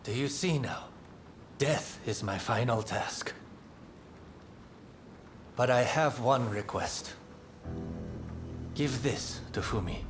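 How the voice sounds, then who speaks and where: A man's voice: slow pace, pauses often, over music, lightly acted. A man voicing a grave, principled revolutionary teacher in a video game cutscene, speaking formally like an orator. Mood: fervent, resigned. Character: solemn, principled revolutionary teacher resigned to martyrdom. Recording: faint rumbling background noise.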